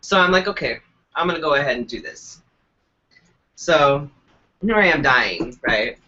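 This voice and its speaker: A stuffed-up, congested man co-hosting a casual live web show, telling a story in a profane, animated way. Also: fairly high pitch, measured pace. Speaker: co-host. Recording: dull lo-fi web stream.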